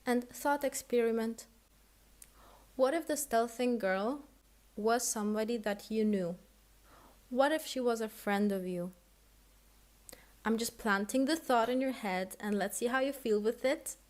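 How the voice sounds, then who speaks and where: A woman's voice: fairly high pitch, a little slow, pauses often, darkish tone. Blunt, indignant woman with roots. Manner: blunt, indignant, swearing often. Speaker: guest speaking on stream. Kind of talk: heated monologue addressed to someone.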